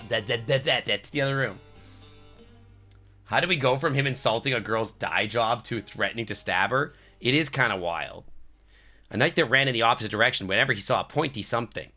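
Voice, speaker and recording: Male streamer, very animated, forceful, slangy, tiring voice, casual read-aloud and reactions, faint music. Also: medium pitch. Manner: slangy, sweary. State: voice tiring, losing it. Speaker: game livestreamer. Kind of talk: casual reactions and reading game text aloud.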